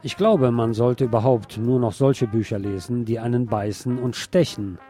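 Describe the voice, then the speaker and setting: A man's voice: mid-range voice, moderate pace. A man working as a radio host, reading out quotations and short commentary as he presents music.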